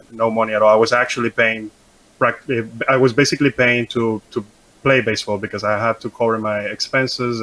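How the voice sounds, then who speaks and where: A man's voice: mid-range voice, poor audio. Venezuelan-born man telling his story. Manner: halting, many ums and restarts, relaxed. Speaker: guest. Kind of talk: casual interview storytelling.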